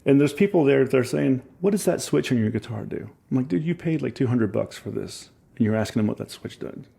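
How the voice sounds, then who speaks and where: Gravelly, husky man with a soft Scottish lilt. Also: mid-range voice, animated, a little fast. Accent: Scottish, softened by years in Ohio. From Scotland. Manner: rambling, enthusiastic storyteller who laughs at himself. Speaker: musician guest. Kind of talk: casual interview chat.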